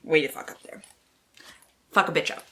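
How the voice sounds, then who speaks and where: A woman's voice: moderate pace, fairly bright tone. Theatrical woman book vlogger, reads blurbs all dramatic then drops into slangy sweary side comments. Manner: theatrical, playful asides to herself. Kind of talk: casual chat mixed with mock-dramatic reading of book blurbs.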